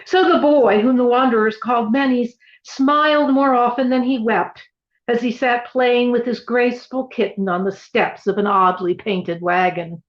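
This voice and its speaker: A woman's voice: a little high, steady tone, normal speed. A woman giving a dramatic, theatrical reading of an eerie literary tale, drawing out her words for effect. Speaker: reader at a live library event of dramatic readings. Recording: echoey, dull-sounding room recording.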